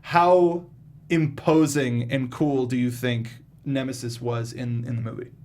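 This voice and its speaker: A man's voice: mid-range voice, fairly even intonation, unhurried, a little mellow, slight background noise. A man hosting a casual film discussion, informal and often groping for his words. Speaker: talk show host.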